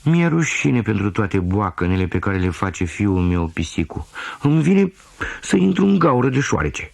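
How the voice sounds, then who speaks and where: A fretful mother cat from a children's story, played by a man, lamenting and apologizing. The voice is pushed up high and swoops expressively, with a husky edge. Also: big pitch swings, a little mellow, no room sound, heavily acted. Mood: mortified.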